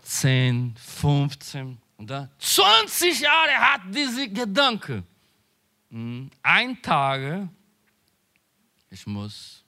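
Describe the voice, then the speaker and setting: A male pastor preaching a sermon with emphatic delivery and quieter asides, often halting to search for words. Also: fairly high pitch.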